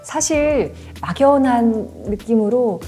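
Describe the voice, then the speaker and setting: Woman in her forties, book talk host, lively, laughs often, slightly high voice, faint music behind. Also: slow. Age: 40s. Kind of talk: warm conversational interview.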